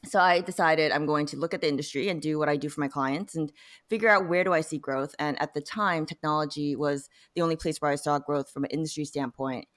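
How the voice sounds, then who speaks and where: A woman's voice: low-pitched, steady tone, a little fast. A woman telling her own story as an interview guest, speaking informally and conversationally, with many "you know"s and "like"s. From born in South Korea, later immigrated to the United States. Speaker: interview guest, data science professional.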